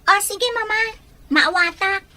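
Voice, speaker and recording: Casting: actress as young village daughter, extremely high-pitched, bright, sharp voice for family audio drama. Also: female voice, a little slow, heavily acted. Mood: dutiful, plaintive. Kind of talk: Ilocano audio drama acting.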